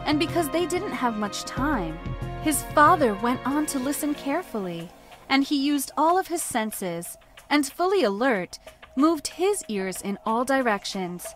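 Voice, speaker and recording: High-pitched, animated woman storyteller. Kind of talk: scripted children's story narration.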